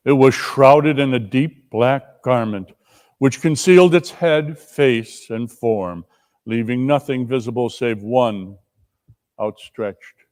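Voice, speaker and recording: A man narrating a story in a dramatic reading, with measured and emphatic phrasing. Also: medium pitch, a little mellow. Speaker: dramatic reader.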